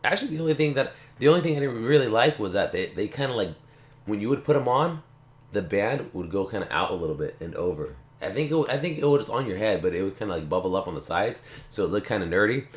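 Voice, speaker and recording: A relaxed man with a slightly low voice rambles through a casual tech unboxing, circling back and repeating himself. He has a slight cough. The sound comes from an echoey room. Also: quick pace. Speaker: tech channel host. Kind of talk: casual unboxing talk to camera.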